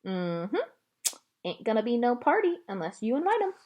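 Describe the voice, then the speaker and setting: A woman's voice: on the high side, big pitch swings, moderate pace, muffled recording, pitched above the performer's own voice. A woman voicing a kindly, wry and firm older Southern woman with a folksy manner in a storybook reading.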